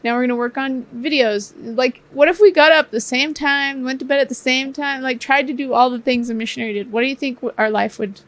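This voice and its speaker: High-voiced woman, thirty, playful chat. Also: steady tone, no room sound. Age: 30s. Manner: playful, quick interjections. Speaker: podcast co-host. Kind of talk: casual chat. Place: home room.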